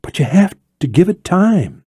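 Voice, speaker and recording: A man's voice: high-pitched, sing-song, moderate pace, darkish tone, slightly rough, dry recording, clean studio sound, voice pushed up, full character voice. A man playing a skeptical, bitter, protective male operative in an audiobook, with an urgent, pleading manner. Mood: cautious. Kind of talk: audiobook character dialogue.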